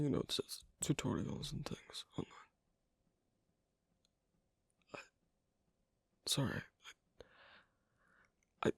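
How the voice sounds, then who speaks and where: A performer playing a shy, socially awkward werewolf on a first date in a soft ASMR roleplay, with a breathy, husky voice and halting, hesitant, terse delivery. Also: medium pitch, dry recording, full character voice. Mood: apologetic, flustered.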